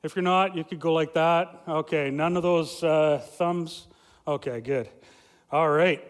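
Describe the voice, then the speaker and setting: A young adult man, a family pastor, preaching a loud, lively sermon with many fillers and restarts. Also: fairly high pitch, measured pace. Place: church sanctuary. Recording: reverberant church hall.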